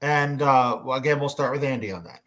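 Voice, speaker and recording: A man moderating a casual panel discussion, speaking in a halting, hesitant way. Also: mid-range voice, a bit bright.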